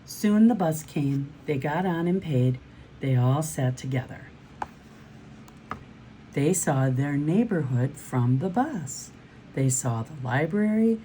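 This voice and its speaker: Low, mellow woman reading to children. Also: lively intonation, slow pace, slight background noise, rough recording. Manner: encouraging, addresses young listeners with questions. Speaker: read-aloud host for children. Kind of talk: children's storybook read-aloud with asides to listeners.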